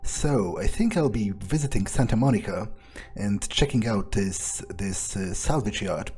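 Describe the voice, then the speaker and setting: A man voicing a power-hungry, menacing vampire in a grandiose, brooding in-character monologue for a game playthrough, with trailing pauses. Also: on the low side, lively intonation, a little mellow, breathy edge, exaggerated voice. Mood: brooding, scheming. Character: power-hungry, menacing male vampire, musing coldly on revenge.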